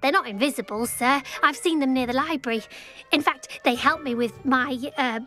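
A woman's voice: sits high, moderate pace, bright, forceful tone, soft background music. An actress playing a nervous, hesitant schoolgirl witch in a video game, awkward and dryly put-upon. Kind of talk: video game dialogue acting.

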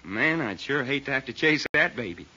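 An actor playing a folksy, eager Texan adventurer with a colloquial drawl in an old radio drama. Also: male voice, mid-range voice, lively intonation, normal speed, pushed and bright, husky, heavily acted. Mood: excited. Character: folksy, eager, girl-loving Texan adventurer with hearty appetite. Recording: muffled, hissy old radio recording.

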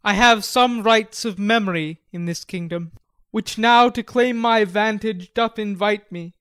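A man's voice: sits high, a little slow, a bit bright, clear, resonant tone, dry recording. A man acting a grave young conquering prince in a classical tragedy, with stately, commanding declamation. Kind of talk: classical stage tragedy, dramatic audiobook reading.

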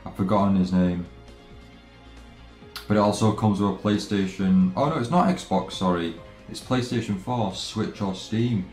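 A low-voiced guy hesitantly chatting to his stream viewers from an echoey room, game music playing behind him. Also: darkish tone. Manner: halting, hesitant delivery. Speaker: let's play streamer. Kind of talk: casual live stream chat. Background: game background music.

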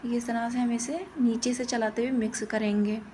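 A woman's voice: fairly high pitch, level tone, normal speed, warm tone, a little hiss or hum. A woman giving plain-spoken, step-by-step instructions in a recipe voice-over as host of a cooking channel.

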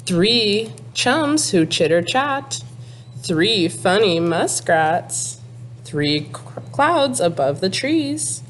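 A woman in her thirties, a teacher, reading a picture book aloud to young children in a sing-song, deliberate way with exaggerated emphasis. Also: mid-range voice, big pitch swings, a little hiss or hum. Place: small classroom, indoors.

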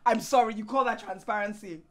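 A woman's voice: fairly high pitch, steady tone, a little fast, close to an everyday voice. A woman playing a hurt, exasperated wife in a screen drama, speaking with pointed emphasis and repeating words for force. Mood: frustrated, accusing. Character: hurt, exasperated wife confronting her proud husband. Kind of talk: naturalistic screen drama acting.

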